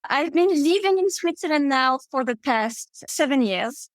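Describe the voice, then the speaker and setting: A woman's voice: sits high, animated, measured pace, no room sound. Upbeat French-accented woman in her thirties. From France. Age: 30s. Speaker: podcast guest. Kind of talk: conversational interview.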